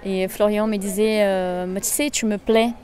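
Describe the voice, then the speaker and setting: Woman, brazilian accent, portuguese native, mid pitch, hesitant, searching for words. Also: fairly even intonation, normal speed, darkish tone. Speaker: interviewee.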